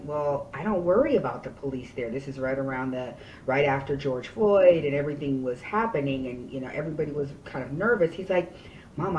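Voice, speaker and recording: Deep-voiced woman podcast host. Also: a little mellow. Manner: earnest and conversational, tells personal stories. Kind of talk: podcast interview. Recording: distant mic, faint background noise.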